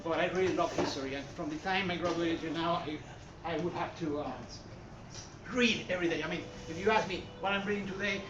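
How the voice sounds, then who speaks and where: A man's voice: fairly high pitch, brisk. Puerto Rican man lecturing in an echoey gallery. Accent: Puerto Rican Spanish. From Puerto Rico. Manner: rambling, self-correcting, with many fillers. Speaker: art history presenter. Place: art gallery hall with an audience. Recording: far-off echoey room mic, dull and noisy.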